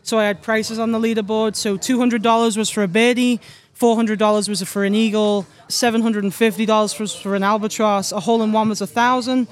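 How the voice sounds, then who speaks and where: Chatty young Scouse woman, flat tune. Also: on the high side, fairly quick. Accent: Scouse with Irish mix. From Liverpool, England. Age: young adult. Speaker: guest golf pro. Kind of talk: casual interview answers.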